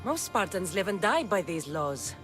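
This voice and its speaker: A woman's voice: fairly low pitch, very animated, moderate pace, music in the background. A woman voicing a blunt, determined young Spartan mercenary in dramatic video game acting. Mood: blunt, wry. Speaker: actor voicing a game character.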